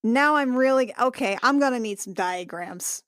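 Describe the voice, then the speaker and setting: High, dry-miked woman, playfully baffled. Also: moderate pace, fairly bright tone, no room sound. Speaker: book club podcast performer. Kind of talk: improvised comedy banter.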